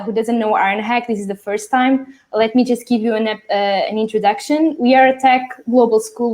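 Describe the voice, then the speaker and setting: A woman's voice: on the high side, darkish tone. A woman hosting a live online webinar, chatty, greeting viewers and reading out messages from the chat. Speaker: webinar host.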